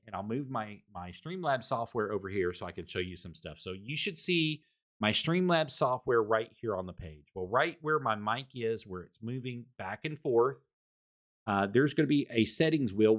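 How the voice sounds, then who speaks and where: Rambling man reviewing a microphone. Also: on the low side. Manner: rambling, repeats words, loud voice. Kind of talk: casual product review. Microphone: desktop condenser microphone.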